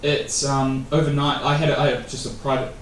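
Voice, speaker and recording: A man's voice: mid-range voice, little pitch movement, fairly quick. Casual male guest speaker in his thirties, rambling answers, distant mic in a noisy, reverberant venue. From New Zealand. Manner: rambling with many fillers and restarts. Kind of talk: casual interview answers at a meetup. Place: event venue. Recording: echoey room from far off.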